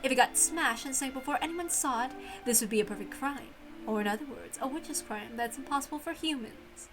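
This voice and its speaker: A woman performs a centuries-old witch from a visual novel, grand and playful. The voice is fairly high and sharply bright, with a teasing, theatrical delivery that relishes every challenge. Music plays behind it. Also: fairly quick, low-quality recording. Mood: challenging, grand. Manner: theatrical, teasing, given to chuckling. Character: haughty, playful centuries-old female witch who relishes mystery games. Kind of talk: dramatic reading of a visual novel.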